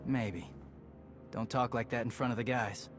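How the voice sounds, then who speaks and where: A man playing a gruff, wary but loyal fighter in a video game, speaking tersely and bluntly. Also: mid-range voice, fairly even intonation, measured pace, natural voice. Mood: guarded warmth. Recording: game music behind.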